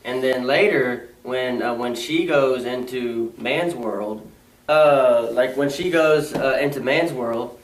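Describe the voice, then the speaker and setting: A young man co-hosting a casual movie review, talking haltingly with many fillers and restarts. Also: mid-range voice, a little hiss or hum. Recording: echoey room, mic far off.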